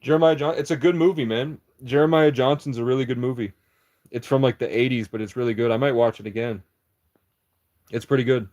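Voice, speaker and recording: A man's voice: mid-range voice, fairly even intonation, fairly quick, a little mellow. A man rambling through a livestream chat in a meandering, conspiratorial monologue, often breaking off and restarting.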